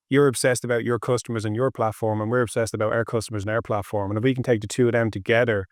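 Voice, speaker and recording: A man's voice: lowish voice, dry recording, studio quality. An Irish man in his forties with an Irish accent, talking conversationally as a panel guest. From Ireland. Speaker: panel guest, tech company representative. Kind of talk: conversational interview answer. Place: indoor studio, seated on a sofa. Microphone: clip-on lapel.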